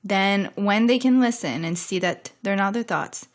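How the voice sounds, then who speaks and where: A woman's voice: medium pitch, unhurried. A woman hosting a podcast interview, listening attentively and summarizing her guest's points. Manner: attentive, frequent affirming murmurs, summarizes the guest. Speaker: podcast host. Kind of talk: casual conversational interview.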